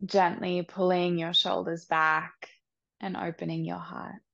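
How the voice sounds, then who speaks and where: Slow, gravelly-voiced woman guiding meditation. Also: on the low side, little pitch movement, dry recording, lo-fi sound. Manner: soft, slow, soothing instructions with long pauses. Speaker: meditation guide. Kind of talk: guided meditation.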